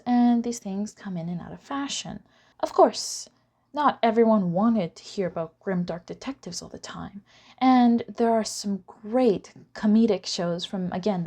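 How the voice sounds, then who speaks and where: A woman's voice: lowish voice, a little mellow. A woman presenting an informal explainer lecture, chatty and given to wry asides. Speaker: presenter of an educational video series.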